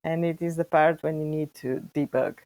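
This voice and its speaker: A woman from Bulgaria in her thirties, a data scientist answering interview questions in long, animated run-on sentences with frequent restarts. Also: low voice, normal speed, no room sound. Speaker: guest, freelance data scientist and startup co-founder.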